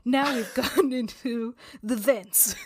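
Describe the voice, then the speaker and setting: A woman with a slightly high voice takes part in a tabletop game. She speaks casually and conversationally, describing her character's moves. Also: slow, breathy edge.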